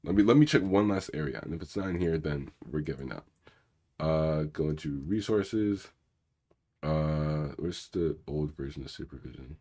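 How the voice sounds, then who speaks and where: Man, very low rumbly voice, raspy and husky from being sick, casual rambling coding host, lots of fillers. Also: warm tone. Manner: rambling, filler-heavy, mutters while clicking around. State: sick, more raspy than usual. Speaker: cybersecurity channel host. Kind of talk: casual live coding walkthrough, reading viewer questions.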